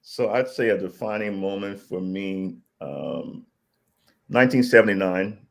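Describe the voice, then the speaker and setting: A middle-aged man raised in Liberia, speaking as an interview guest in a thoughtful, measured way with frequent pauses. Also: sits low, vocal fry. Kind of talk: reflective conversational storytelling.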